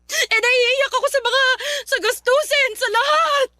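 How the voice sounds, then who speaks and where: A woman's voice: very high-pitched, unhurried. An actress playing an anxious, nagging middle-aged mother in a radio drama. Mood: fretful scolding. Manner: fretful, scolding, tearful at times. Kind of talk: Filipino radio drama acting.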